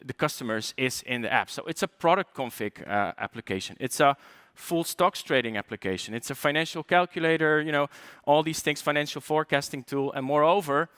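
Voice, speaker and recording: A man's voice: a little high, brisk, fairly bright tone, clean tone, dry recording, studio quality. Chatty Polish man giving a tech talk. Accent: light Polish. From Poland. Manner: chatty, joking asides, frequent fillers and restarts. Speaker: conference speaker.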